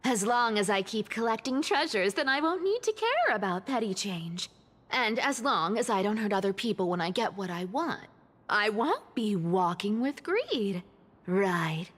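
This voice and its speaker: A mischievous young demigod treasure hunter for a video game. She is teasing and theatrical, swinging widely in pitch at an unhurried pace, with long reverb around her carefree voice. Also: sing-song, fairly bright tone. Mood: playful, confident. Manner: teasing, theatrical, laughs between phrases. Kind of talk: video game character acting.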